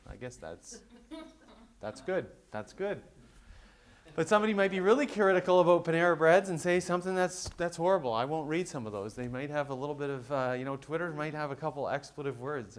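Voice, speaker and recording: A man giving a conversational business presentation at a conference, explaining with quick everyday examples. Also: on the high side, expressive. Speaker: conference speaker.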